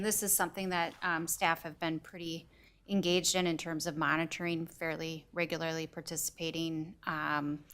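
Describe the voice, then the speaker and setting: Low-voiced woman giving a staff report. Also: fairly bright tone, clear, resonant tone. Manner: measured, with frequent ums. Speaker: city administrator. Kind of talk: staff report at a council meeting. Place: council chamber.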